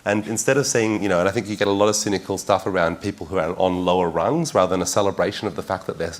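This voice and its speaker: A man's voice: sits low, steady tone, fast. A man speaking on a discussion panel, thoughtful, often repeating words as he builds a point.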